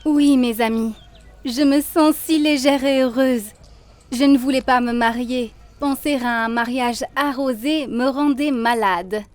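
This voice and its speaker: A woman's voice: a little high, a little mellow, close to an everyday voice. A woman playing a happy, earnest young bride in a cartoon, speaking sincerely to her friends. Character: happy, earnest young bride who dislikes drunken revelry. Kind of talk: cartoon dialogue, French dub.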